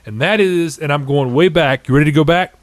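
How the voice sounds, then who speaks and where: A man's voice: a little high, brisk, no room sound. A man in his thirties hosting a casual podcast, chatting and ranting in a profane, opinionated way. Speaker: podcast host. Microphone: studio condenser microphone on table.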